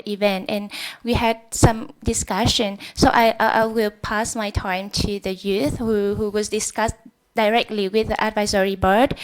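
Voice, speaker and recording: A woman's voice: medium pitch, rough recording. A Vietnamese woman with a Vietnamese accent presenting at a workshop, hesitant as she searches for English words. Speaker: workshop speaker. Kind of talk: public presentation.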